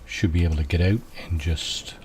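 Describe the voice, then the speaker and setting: A man with a low, soft voice, quietly talking through a video game at an unhurried pace, musing aloud with many fillers. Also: steady tone, a little hiss or hum. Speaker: gameplay streamer. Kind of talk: casual let's-play commentary.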